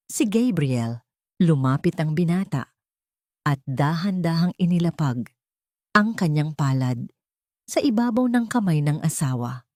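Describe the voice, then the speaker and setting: A woman narrating a scripted romantic drama story in a deliberate, sentimental way. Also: low voice, darkish tone, dry recording, studio quality.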